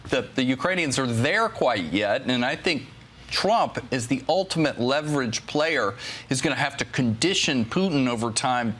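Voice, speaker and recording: A man's voice: medium pitch, expressive, moderate pace, a bit bright, a little hiss or hum. An American man speaking as a national security analyst, giving unscripted commentary as a news guest.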